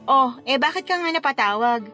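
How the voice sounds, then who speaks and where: Sassy Filipina career woman, high voice, teasing, exclamatory, radio drama, light music underneath. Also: animated, brisk. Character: sassy, playful career woman wedding planner, concerned confidante. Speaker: actress in a radio love-story drama.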